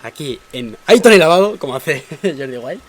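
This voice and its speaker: A man hosting a podcast, upbeat and quick to laugh in casual chat. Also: on the high side, animated. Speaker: podcast host.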